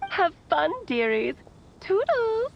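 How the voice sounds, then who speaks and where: A woman's voice: very high-pitched, very animated, takes it slowly, gravelly, highs cut off, background noise, exaggerated voice. A woman voicing a breezy, playful witch mage leaving an affectionate, theatrical message in a video game.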